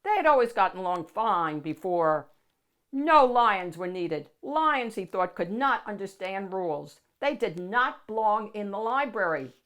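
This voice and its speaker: A woman reading a picture book aloud to children in an animated, expressive storytelling style with dramatic emphasis. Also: medium pitch, unhurried.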